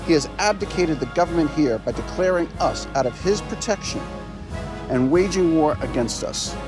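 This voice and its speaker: Higher-voiced man reading with music behind. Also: animated, moderate pace. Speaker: reader of a historical document. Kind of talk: public reading aloud.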